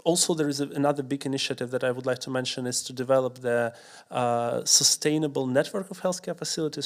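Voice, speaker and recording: A man answering a question on a panel in a measured way, with frequent pauses and restarts. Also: medium pitch. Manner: measured, many fillers and restarts. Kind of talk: panel discussion.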